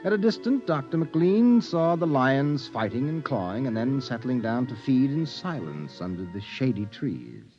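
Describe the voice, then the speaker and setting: A man narrating a 1950s radio drama in a measured storytelling manner, heard through a dull old radio transcription. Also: mid-range voice, lively intonation, soft background music, lightly acted.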